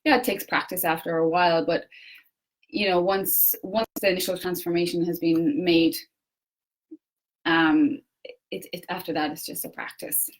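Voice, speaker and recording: A young Irish woman with an Irish accent gives an earnest solo livestream talk, with many pauses and restarts. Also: lowish voice, steady tone, low-quality recording. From Ireland. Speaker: personal development coach. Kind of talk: solo Facebook Live talk.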